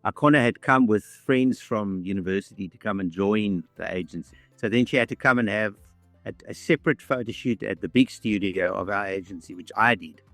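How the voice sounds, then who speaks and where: Older man, low voice. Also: a little fast, fairly bright tone, no echo, faint music behind. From South Africa. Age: 60s. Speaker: interviewee. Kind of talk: reflective storytelling.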